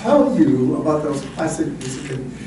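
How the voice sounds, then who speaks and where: A man's voice: fairly high pitch, animated, moderate pace. A man acting as event host, giving a live welcome speech to an audience. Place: theatre event hall, live. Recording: very echoey hall, distant, noisy.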